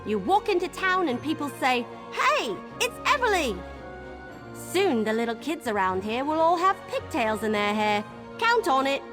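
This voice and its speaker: A woman's voice: high voice, sing-song, normal speed. A woman playing an eager, chatty young adventurer in a video game, with bubbly, folksy exclamations. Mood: excited. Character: eager, chatty young female adventurer who loves cake. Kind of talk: video game character dialogue. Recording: game music underneath. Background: game music.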